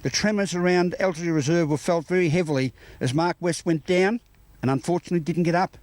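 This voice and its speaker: A man's voice: fairly high pitch, fast, darkish tone, no room sound. An Australian man presenting a local TV news interview, with formal, courteous phrasing.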